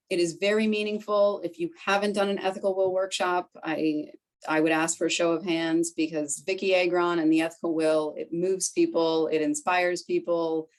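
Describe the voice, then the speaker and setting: Chatty Boston woman presenting to colleagues. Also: on the low side. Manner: chatty, conversational, fillers like "right?" and "like". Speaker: foundation director presenting to colleagues. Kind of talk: conference presentation.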